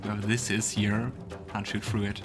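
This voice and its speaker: A man with a fairly low voice hosts a let's play in a casual way. He thinks aloud and reads on-screen text, with clear game music playing behind him. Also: level tone, moderate pace. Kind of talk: casual gameplay commentary. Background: video game music.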